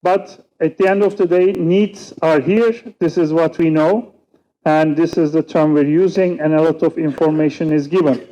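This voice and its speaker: A man whose first language is Turkish, speaking with a Turkish accent as a panelist giving a measured, reflective conference talk with many pauses. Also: on the high side, steady tone, dark tone, highs cut off. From Turkey.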